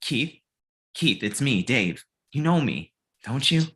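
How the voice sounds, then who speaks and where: Man acting as kindly young roommate, earnest, coaxing, very lively intonation, comedy table read. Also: medium pitch, slow pace, slightly rough, heavily acted. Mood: gently coaxing.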